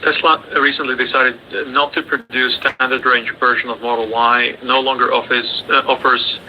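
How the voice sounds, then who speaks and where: A man's voice: medium pitch, level tone, moderate pace. A man moderating an investor call, reading out prepared questions. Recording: dull, noisy conference call audio.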